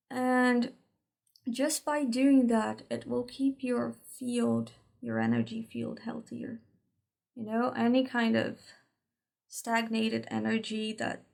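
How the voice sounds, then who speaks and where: A woman's voice: on the high side, gravelly. A woman whose first language is Portuguese, casually explaining an energy exercise to her viewers and checking in with them often. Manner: relaxed, chatty, frequent "right?" check-ins and light laughs. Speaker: energy work teacher. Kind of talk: casual instructional talk to camera.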